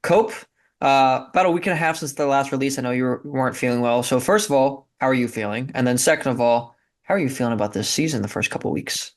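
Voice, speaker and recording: A man's voice: medium pitch, fast talker. A man hosts a college basketball podcast with upbeat, casual energy. He keeps the show moving and sets up his co-host. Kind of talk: casual sports talk.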